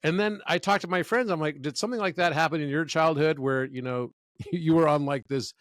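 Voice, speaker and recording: A man's voice: on the high side, fairly quick, a bit bright, dry recording, clean studio sound. A man in his fifties, a guest in a conversational interview, telling stories with enthusiasm. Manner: enthusiastic, storytelling.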